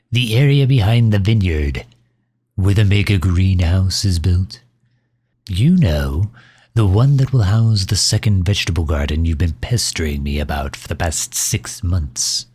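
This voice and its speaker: Guy doing a suave villain boss, super deep voice, slow and pompous, bit breathy, asmr roleplay. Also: darkish tone, dry recording, clean studio sound, exaggerated voice. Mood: exasperated. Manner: pompous, leisurely, formal wording. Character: suave megalomaniac villain, pompous and impatient with his servant.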